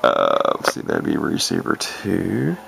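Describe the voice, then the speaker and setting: A man's voice: low voice, huge pitch swings, unhurried, creaky. A man chatting casually and unscripted as a radio hobbyist, rambling hesitantly and thinking aloud as he demonstrates. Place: indoor room at home beside radios. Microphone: handheld camera mic, speaker behind camera. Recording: noisy handheld camera, loud radio static. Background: shortwave radio hiss and broadcast.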